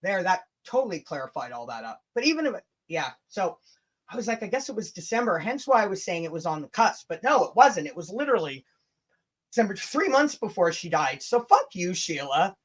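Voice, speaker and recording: A woman's voice: lowish voice, sing-song, a little fast. A woman hosting a casual livestream rant, blunt, combative and sweary, with chatty asides to her viewers. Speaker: livestream host.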